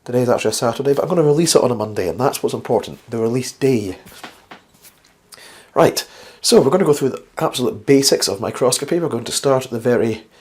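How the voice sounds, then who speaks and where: A man with a slightly croaky, flu-worn voice hosts a casual instructional science vlog, chatty and rambling with wry, self-deprecating asides. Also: mid-range voice, lively intonation, quick pace, darkish tone. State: getting over the flu. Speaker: host of a science video series.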